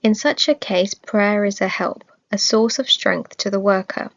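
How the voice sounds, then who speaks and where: A woman of medium pitch reads scripted religious narration. She phrases things in a measured, orderly way. The recording is a little dull and lacking in top end. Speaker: reader of religious study text.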